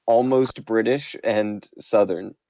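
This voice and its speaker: A man who runs a tabletop game, chatting casually after a session in a halting, self-deprecating way with many restarts. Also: fairly low pitch, creaky, warm tone, no room sound. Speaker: game master. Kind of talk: casual post-game chat. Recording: muffled lo-fi audio.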